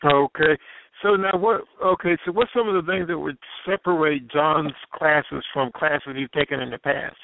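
A man hosting a radio show, interviewing callers in a brisk, affirming way. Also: a little high, creaky, narrow, tinny audio.

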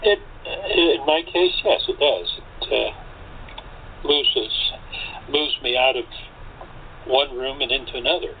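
A man with an American accent speaking in casual conversation, with halting, deliberate phrasing. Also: mid-range voice. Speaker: radio play cast member being interviewed. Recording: tinny phone line with faint noise.

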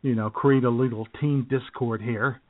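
A man's voice: mid-range voice, fairly even intonation, normal speed. A man co-hosting a podcast, chatting casually and telling rambling jokey stories. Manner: joking, rambling storyteller. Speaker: podcast co-host. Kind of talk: casual comedic chat. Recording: tinny phone-like sound.

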